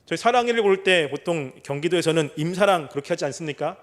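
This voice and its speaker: Male pastor, middle-aged, high voice, earnest sermon, rhetorical questions, slow scripture reading. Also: lively intonation. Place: church sanctuary.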